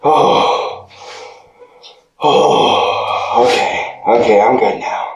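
A man's voice: mid-range voice, very slow, noisy background. A man voicing a cocky male ice-powered fighter who teases and laughs at his own jokes. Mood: amused. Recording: echoey room, faint music.

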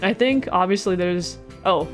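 A woman's voice: on the low side, a little slow, faint music behind. Rambling, giggly young woman streamer. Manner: rambling, giggly, trails off mid-thought. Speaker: let's play streamer. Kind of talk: casual reaction commentary.

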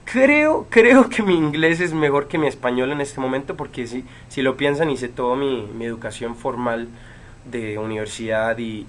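A man's voice: mid-range voice, a little hiss or hum. Young man chatting on a webcam. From Chile. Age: 20s. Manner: relaxed, many fillers and pauses. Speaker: actor answering fan questions. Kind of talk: casual livestream chat. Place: home room with bookshelves, indoors.

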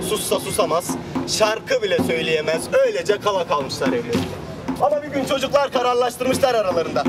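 A man with a very high-pitched voice performs a fairy tale in a declamatory, theatrical style, pausing and repeating for drama. He is heard from a distance outdoors, with loud music behind him. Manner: declamatory, dramatic pauses and repetitions. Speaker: storyteller, street theatre performer. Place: outdoors on a city street.

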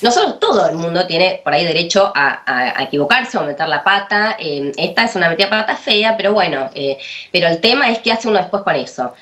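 An Argentine woman in her thirties speaks over a laptop webcam video call, her voice sitting a little low. She stops and restarts often and tucks fillers between her phrases. Under the hesitation there is steady indignation. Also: a little fast. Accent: Argentine Spanish. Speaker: interviewee, sister of the man affected. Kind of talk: remote TV news interview. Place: home room, indoors.

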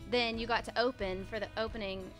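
A woman's voice: a little high, fairly even intonation, brisk. Chatty woman with music behind. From Texas. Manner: chatty, storytelling, laughs often. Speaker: country singer. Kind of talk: casual interview chat. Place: festival backstage area. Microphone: handheld interviewer mic.

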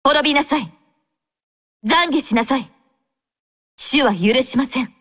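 Anime game role: young female android squad captain, devout, courteous, composed, lightly high-pitched. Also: unhurried. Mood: solemn, resolute. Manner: formal, gentle, composed. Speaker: game character voice actor.

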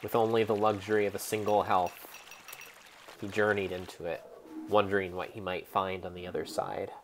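A young man with a low voice gives a dramatic reading of a video game. He speaks theatrically and deliberately, pausing between phrases like a storybook narrator. There is light noise behind him. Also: little pitch movement. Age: young adult. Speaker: game streamer.

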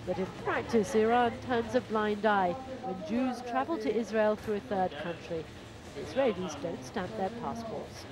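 A woman reporter giving scripted documentary narration in a measured, even way. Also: mid-range voice, a crowd in the background.

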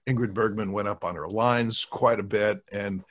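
A man in his sixties talking as a podcast guest in a casual, thoughtful, halting way, close to a desk microphone at home. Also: lowish voice, fairly even intonation. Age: 60s. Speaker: podcast guest, radio show producer. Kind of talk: casual discussion of old radio and film. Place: home room, video call. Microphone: large desk microphone, close.

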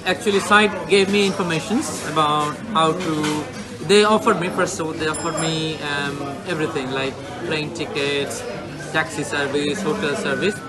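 A man's voice: fairly high pitch, fairly even intonation, normal speed, over loud music. A man from Bangladesh in his thirties, answering interview questions casually, hesitant with many fillers, seated indoors by a brick wall. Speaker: interviewee, client.

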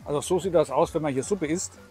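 A man's voice: fairly high pitch, fast, darkish tone, soft background music. A man in his sixties from Germany chatting and explaining as he hosts a casual travel vlog. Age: 60s. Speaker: vlog host.

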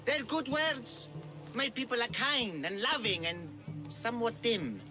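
A man voicing a hapless, cowardly villager who poses as a monster slayer, theatrical, bumbling and excitable, in a video game. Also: very high voice, measured pace, over music. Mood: hopeful, scheming. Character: hapless, melodramatic, cowardly male villager posing as Minotaur slayer. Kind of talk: video game character acting.

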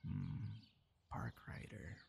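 A man's voice: lowish voice, steady tone, drawn-out words, a little mellow, a little hiss or hum, full character voice. Man acting a friendly, coaxing young guy. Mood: playful, warm. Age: young adult. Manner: earnest, coaxing, sighs and breathy reactions. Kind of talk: ASMR audio roleplay, one-sided dialogue to listener.

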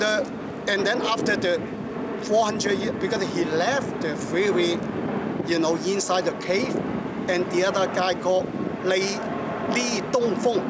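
Middle-aged man with a Cantonese accent. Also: high-pitched. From Hong Kong. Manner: halting, many fillers, gestures while talking. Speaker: martial arts master. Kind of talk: casual spoken history talk. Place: waterfront promenade outdoors. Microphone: camera in front, mid shot. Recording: outdoor traffic noise.

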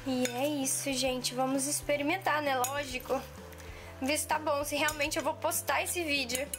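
A woman's voice: high voice, fairly even intonation, normal speed. A woman in her twenties chatting casually through a home cooking vlog, restarting sentences often, in a kitchen on a camera mic. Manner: chatty, hesitant, many restarts and fillers. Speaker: cooking vlogger. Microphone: camera mic at arm's length. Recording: music playing under the voice. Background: background music and clinking dishes.

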